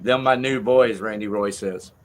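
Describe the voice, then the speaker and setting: A man's voice: on the low side, normal speed, slight background noise. A man hosting a livestream with casual, crude and irreverent banter. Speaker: show host.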